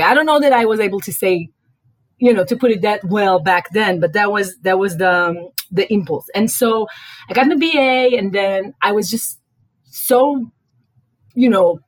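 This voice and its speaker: A woman's voice: medium pitch, no room sound. A woman with an Israeli accent chats as an interview guest, circling her thoughts with fillers and restarts. Her voice sits in a middle register and comes across dry and close, with no room sound. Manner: chatty, thinks aloud with many fillers and restarts. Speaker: guest, writing and life coach. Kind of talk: casual interview talk.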